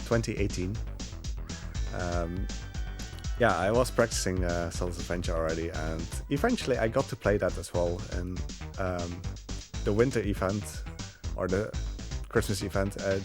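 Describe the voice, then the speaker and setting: A man with a lowish voice commentates casually on his own gameplay. He rambles with hesitant asides while concentrating on the run, and game music plays clearly behind him. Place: live gaming marathon event, game audio behind.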